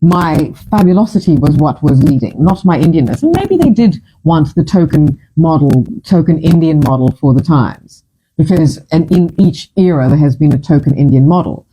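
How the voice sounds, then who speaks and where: A woman's voice: sits low, animated, normal speed, mellow, slight background noise, low-quality recording. A woman from Fiji in her fifties, hosting a casual chat and telling her stories in a chatty, dramatic, self-mocking way. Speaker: host.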